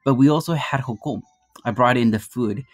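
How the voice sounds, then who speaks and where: A man's voice: medium pitch, no echo. A Guatemala-born man who teaches math, giving a casual slide presentation to his students and explaining things in a friendly, plain way. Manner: friendly, addresses students as "you guys", explains slowly. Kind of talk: informal classroom presentation over slides. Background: marimba music playing softly.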